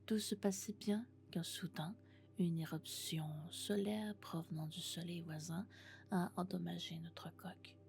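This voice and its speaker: A woman playing a friendly, doting female robot in an ASMR roleplay, speaking gently and often in whispers. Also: lowish voice, steady tone, measured pace, gravelly. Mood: gentle, cheerful. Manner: gentle, reassuring, alternating soft speech and whispers. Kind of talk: French ASMR roleplay, soft-spoken and whispered.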